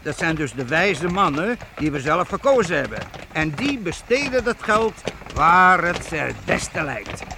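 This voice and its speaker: An actor playing a proud, defiant master weaver who leads armed townsmen in a medieval radio play, assured and paternal. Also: male voice, fairly high pitch, sing-song, a little slow, noisy background. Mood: proud, instructive. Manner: assured, explanatory, addresses youngsters paternally.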